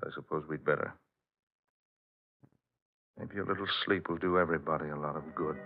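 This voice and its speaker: An actor playing an earnest married man investigating a mystery in a 1940s-style radio horror drama, with deliberate, dramatic delivery. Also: fairly even intonation, over music. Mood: uneasy, subdued. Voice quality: low-pitched voice. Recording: dull old radio recording.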